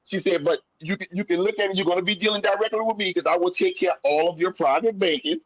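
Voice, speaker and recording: A man with a notably high voice talks in a casual, folksy way, as a guest sharing his own story. He is animated, often doubles back on his phrases and acts out both sides of conversations. The sound is dry, with little room to it. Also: fast talker, no echo, muffled recording.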